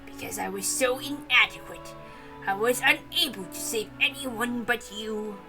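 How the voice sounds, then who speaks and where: A woman's voice: a little high, a little slow, music in the background, rough recording. A woman voicing a hearty old grandfather, a fond and boisterous master of the house, in a visual novel reading. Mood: regretful, earnest.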